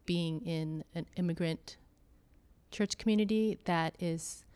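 A woman talking slowly and thoughtfully about faith and church life, pausing often with soft fillers. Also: lowish voice, smooth. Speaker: church member interviewee. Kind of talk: reflective conversation.

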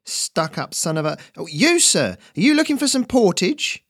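A man's voice: high voice, sing-song, moderate pace, fairly bright tone, no room sound, studio quality, pitched above the performer's own voice, full character voice. A man voicing a scrappy young boatman's son with a pushy, eager sales patter. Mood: eager hustling. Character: young male boat kid, scrappy, eager hustler.

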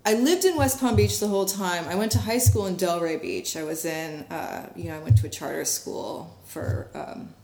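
A woman's voice: fairly low pitch, moderate pace, few pauses, darkish tone. A woman in her thirties from Connecticut, talking casually as an interviewee, hesitant, with many ums and restarts. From Connecticut, USA. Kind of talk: casual oral-history interview. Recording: echoey room.

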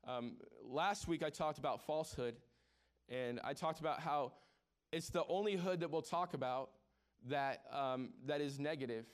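A man's voice: fairly high pitch, normal speed. A man in his forties preaching a sermon as pastor, conversational and joking with the congregation, often repeating words as he restarts. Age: 40s.

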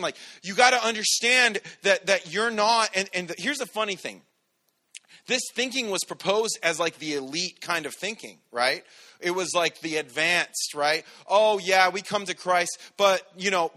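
Loud commanding preacher man. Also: high-pitched. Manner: energetic, conversational preaching. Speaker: pastor. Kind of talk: sermon.

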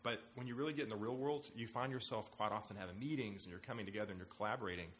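A man with a low voice hosts a casual panel discussion, talking fast and eagerly. He pushes the talk forward with questions, and faint noise hums behind him. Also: a bit bright. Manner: quick, eager, leads the questions. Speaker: host.

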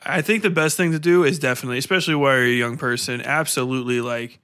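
A man's voice: medium pitch, fast talker, a bit bright, clean tone. A man in his mid-thirties with an American accent, chatting casually and in a rambling, informal way as an interview host. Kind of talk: casual chat.

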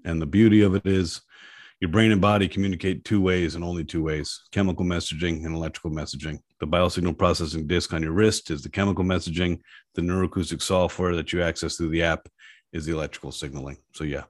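A man with a deep voice talking in a casual interview, an animated and self-deprecating storyteller. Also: fast. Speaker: guest, app founder.

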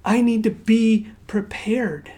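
A woman raised in Zambia giving a sermon. She opens with a quiet prayer and then tells stories conversationally, often dropping to a hushed near-whisper. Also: on the high side, fairly even intonation, takes it slowly. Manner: conversational storytelling with fillers and asides. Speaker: pastor.

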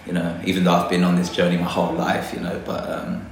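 Man from London, very deep voice, hesitant casual talk, roomy echo, faint background noise. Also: completely flat delivery, fast, vocal fry. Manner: hesitant, many fillers, reflective. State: a little nervous. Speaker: guest botanist. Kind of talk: casual interview chat.

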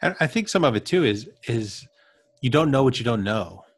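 A man in casual conversation, reflective, drawing on personal examples. His voice sits at an ordinary pitch and is recorded dry and close. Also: very animated, normal speed, slightly rough, dry recording. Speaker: podcast co-host. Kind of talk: casual discussion.

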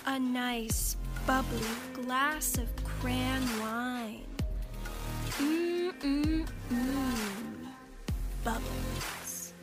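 A woman's voice: high voice, drawn-out words, over loud music, full character voice. A woman playing an indulgent drink-commercial character who lingers and sighs with savoring pleasure. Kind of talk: luxurious beverage advertisement.

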